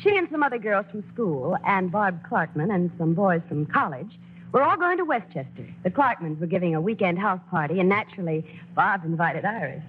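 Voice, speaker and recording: An actress playing a grown daughter who fondly narrates family memories with an American accent, in expressive 1940s radio style. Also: female voice, fairly low pitch, a little fast, a little hiss or hum. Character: grown daughter fondly recalling her show-business family. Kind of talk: scripted first-person narration, 1940s radio acting. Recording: muffled old radio broadcast with music.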